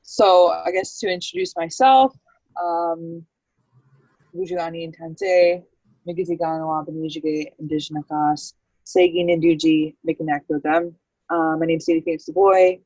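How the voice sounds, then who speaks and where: A woman's voice: on the low side, animated, moderate pace, a little mellow, dry recording, low-quality recording. A Canadian woman speaking casually and unscripted as a guest co-host on a podcast. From Manitoba, Canada. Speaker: guest co-host, community coordinator.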